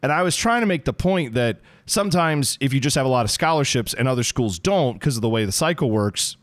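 A hoarse man in his thirties, co-hosting a casual soccer podcast from his home basement, telling rambling, self-deprecating stories. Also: mid-range voice, expressive, a little fast, no room sound, studio quality. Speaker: podcast co-host. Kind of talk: casual sports chat.

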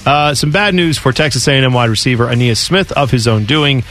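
A man's voice: mid-range voice, brisk, fairly bright tone, soft background music. A man co-hosting a college football talk show, reading news items and riffing in a casual way. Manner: conversational, reads news items then riffs. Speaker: sports talk co-host.

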